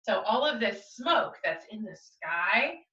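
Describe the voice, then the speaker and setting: Female storytime reader, bright and expressive, talks to kids directly, recorded in a roomy space. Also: medium pitch, slow. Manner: expressive, asks child listeners questions. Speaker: children's storytime reader, teacher. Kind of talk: animated picture-book read-aloud for children. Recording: roomy, slightly dull, distant microphone.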